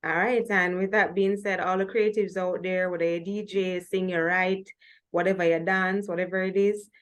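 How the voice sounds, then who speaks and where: A woman's voice: lowish voice, steady tone, moderate pace. A woman with a Jamaican accent hosting a music talk show in a conversational style.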